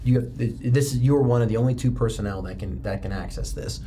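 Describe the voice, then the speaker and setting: Male game master, clear room noise. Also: medium pitch, fast, mellow. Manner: conversational second-person scene-setting. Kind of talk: narrating a tabletop roleplaying game.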